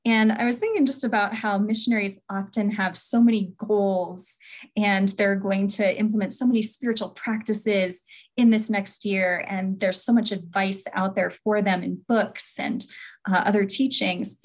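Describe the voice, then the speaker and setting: A woman's voice: mid-range voice, fairly even intonation, normal speed. Woman hosting a conversational podcast. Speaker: podcast host.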